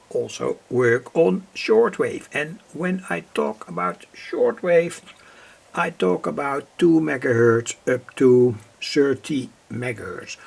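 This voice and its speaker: Husky, creaky man explaining electronics. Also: medium pitch. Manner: halting, explanatory, with frequent pauses and repeated phrasing. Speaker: presenter of hobby radio electronics demos. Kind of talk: unscripted technical demonstration talk.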